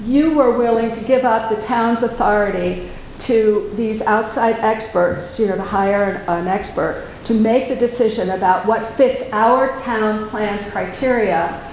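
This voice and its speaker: A woman's voice: mid-range voice, a little slow, darkish tone. A woman speaking from the floor at a town board meeting, pressing a question persistently. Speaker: resident commenting at a meeting. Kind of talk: public comment. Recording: echoey hall, faint noise.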